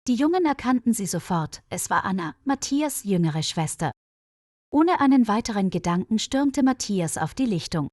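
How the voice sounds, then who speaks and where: A woman's voice: medium pitch, big pitch swings, moderate pace, darkish tone, no echo. Woman storyteller, dry close studio sound. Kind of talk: scripted narration of a mystery story for German learners.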